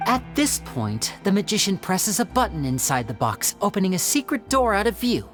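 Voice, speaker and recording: A woman playing a poised stage magician in a video game, speaking in a theatrical, measured, confiding way. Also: medium pitch, very animated, over music, close to an everyday voice. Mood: poised, explanatory. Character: poised female stage magician explaining tricks and clues. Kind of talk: scripted video game voice acting.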